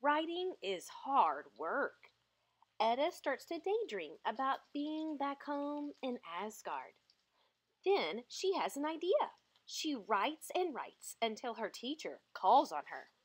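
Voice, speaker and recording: Slow, lively, high-voiced woman reading aloud. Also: breathy edge. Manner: expressive, deliberate storytelling. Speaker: storybook reader. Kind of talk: children's picture book read-aloud.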